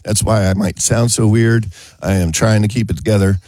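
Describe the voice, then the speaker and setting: A man's voice: fairly low pitch, fairly even intonation, fairly quick, darkish tone. A man hosting a gardening radio show while getting over a cold, chatting casually and joking between chuckles. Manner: joking, rambling, chuckles often. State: has a cold.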